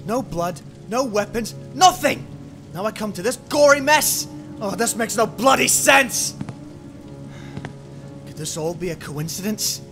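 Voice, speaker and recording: A man's voice: sits high, expressive, a little slow, sharp tone, soft background music, heavily acted. A man acting a hot-tempered, obsessive, weary police detective in an amateur radio drama, muttering and swearing. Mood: frustrated, angry. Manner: brooding, muttering to himself, swearing. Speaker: voice actor in amateur radio play.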